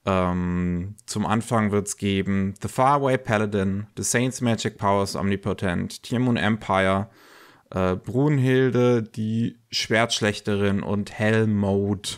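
A man co-hosting a podcast, talking casually and haltingly, slightly congested from a cold. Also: fairly low pitch. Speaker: podcast co-host. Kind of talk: casual news podcast talk.

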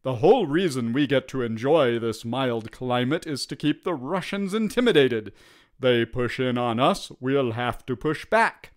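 A man's voice: medium pitch, expressive, unhurried, natural voice. Guy reading as sceptical sensible british soldier, bit dour, adventure storybook. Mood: scornful.

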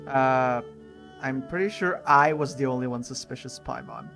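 A man's voice: mid-range voice, slow, creaky, fairly bright tone. A man giving casual let's play commentary in a chatty voice-over. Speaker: gamer commentating on playthrough. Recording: voice-over above game music. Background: game music.

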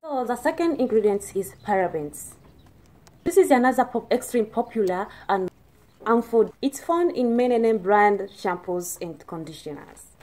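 A young woman from Tanzania with a Tanzanian accent, Swahili her first language, talking to camera about hair care in careful, halting English with many restarts. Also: on the high side, lively intonation, darkish tone. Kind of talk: casual talk to camera. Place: indoors, plain plastered wall.

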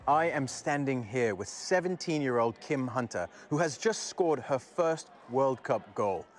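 A man's voice: mid-range voice, animated, unhurried, lightly acted. A man playing a courteous TV sports reporter, interviewing in a video game cutscene. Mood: courteous, upbeat. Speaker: pitch-side reporter.

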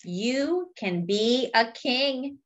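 A woman reading a picture book aloud to young children in a deliberate, teacherly way, adding simple explanations as she goes. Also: on the high side, animated, lo-fi sound. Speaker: children's read-aloud storyteller.

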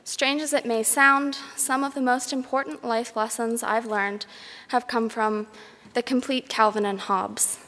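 Young woman, student orator, higher-pitched, measured and formal commencement address, long hall reverb. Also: steady tone, sharp tone. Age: young adult. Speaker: graduating student speaker. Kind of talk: prepared commencement speech. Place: large concert hall, speaking at a graduation ceremony. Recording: echoey large hall PA.

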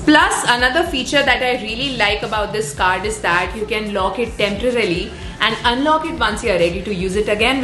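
Travel vlogger, female, young adult, Indian accent, scripted delivery, higher pitch, roomy recording. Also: a bit bright. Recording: roomy, music underneath. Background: background music.

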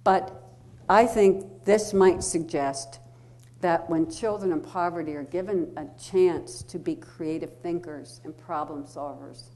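A woman's voice: fairly low pitch, darkish tone. A middle-aged woman giving a public lecture, explaining earnestly to an audience in a wood-panelled hall. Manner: earnest, explanatory, reads poetry slowly.

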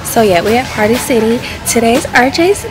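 A woman's voice: fairly high pitch, lively intonation, normal speed, over loud music, rough recording. A young woman who has lost most of her voice, chatting casually to her phone camera at arm's length while vlogging her day. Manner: chatty, talks straight to the camera. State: hoarse, losing her voice. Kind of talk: casual vlog chat. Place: shops, restaurants and home, indoors and out. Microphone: handheld phone at arm's length.

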